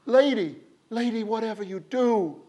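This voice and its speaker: A man voicing a crowd of frightened townsfolk who wail and plead in a high voice. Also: lively intonation, takes it slowly, mellow, muffled recording, slight background noise, exaggerated voice. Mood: fearful.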